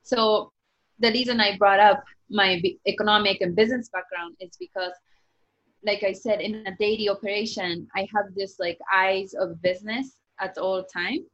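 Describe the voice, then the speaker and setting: A woman's voice: medium pitch, normal speed. Chatty Japanese-accented woman, lo-fi sound. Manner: chatty, lively, many "like" fillers. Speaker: author guest. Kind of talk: casual interview talk.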